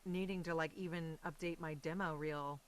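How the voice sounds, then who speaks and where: A woman's voice: low voice, fairly even intonation, moderate pace. An American woman talking casually and animatedly as an interview guest. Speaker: guest, voice and stage actress.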